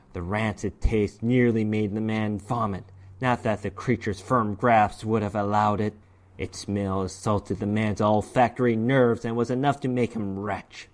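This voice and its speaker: Animated dramatic story narrator. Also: medium pitch.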